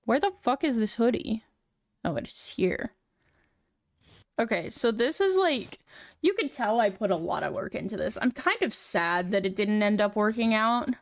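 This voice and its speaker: A young woman talking to her stream in a loose, rambling way, full of "like" and "um." Her feminine voice sits at an ordinary pitch for a woman. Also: lively intonation, moderate pace. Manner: rambling, filler-heavy, chatty. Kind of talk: casual chat while working on a model.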